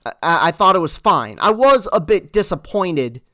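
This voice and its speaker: A man reviewing a movie in casual, earnest talk, halting and repeating words as he goes. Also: high voice, sing-song, fairly bright tone, no echo, studio quality. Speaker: film reviewer.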